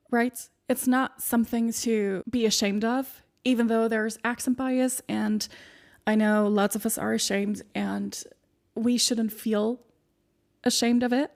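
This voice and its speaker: A woman with a soothing, somewhat high voice and a gravelly edge hosts her podcast, coaching listeners on public speaking. She works through her advice in numbered points with steady encouragement. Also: unhurried. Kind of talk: solo podcast advice monologue. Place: home studio in the UK.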